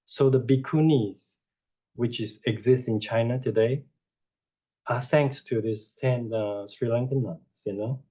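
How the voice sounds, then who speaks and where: A man gives an informal dharma lecture over a video call, unhurried and rambling, with frequent "you know" and self-corrections. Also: mid-range voice, mellow. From Taiwan. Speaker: Buddhist teacher giving a talk. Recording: dull lo-fi online audio.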